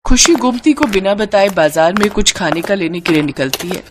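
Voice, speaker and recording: Lively, expressive woman storyteller. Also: medium pitch, normal speed, noisy background. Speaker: story narrator. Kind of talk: scripted moral-story narration in Hindi.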